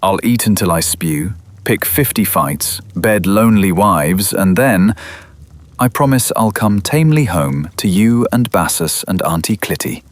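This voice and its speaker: An actor playing a cynical, cruel, scheming Roman patrician in an audio drama, with a mocking, theatrical delivery. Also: male voice, low voice, animated, measured pace, no echo. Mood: sardonic.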